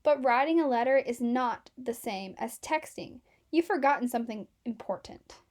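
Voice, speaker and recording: A woman's voice: fairly high pitch, moderate pace, darkish tone, natural voice. A woman voicing a miserable, put-upon male schoolteacher in a children's storybook, weary and reproachful. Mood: patient correcting. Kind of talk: children's storybook read-aloud.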